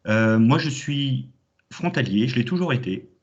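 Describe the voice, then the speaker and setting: A man's voice: medium pitch, lively intonation, unhurried. Enthusiastic man on a dull call. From Champagne, France. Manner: enthusiastic, many hesitations. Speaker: alumni guest speaker. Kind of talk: online conference talk. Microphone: video call. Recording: dull lo-fi online call audio.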